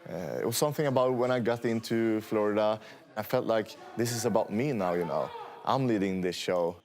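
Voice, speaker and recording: Hesitant low-voiced Swedish man. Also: fairly quick, darkish tone. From Sweden. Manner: hesitant, many fillers, plain speech. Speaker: fighter interviewee. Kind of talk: casual interview answers.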